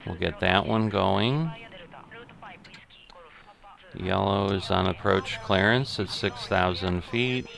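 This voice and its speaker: A middle-aged man giving unscripted simulation game commentary, thinking aloud in a methodical, halting way. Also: low-pitched, gravelly, a bit bright. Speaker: gaming video host.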